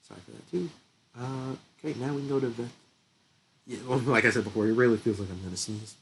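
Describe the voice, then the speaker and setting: A man's voice: mid-range voice, fairly even intonation, quick pace, a little hiss or hum, poor audio. A young man with a stuffy nose, getting sick, chatting casually through his gameplay commentary, laughing often and thinking aloud. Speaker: gaming channel host.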